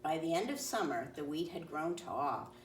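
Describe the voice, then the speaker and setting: A woman with a low voice reads a children's story aloud. Her phrasing is deliberate and clear. She sounds slightly away from the mic in an echoing room. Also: animated. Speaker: storybook reader. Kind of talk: children's read-aloud narration. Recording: roomy, distant mic, faint noise.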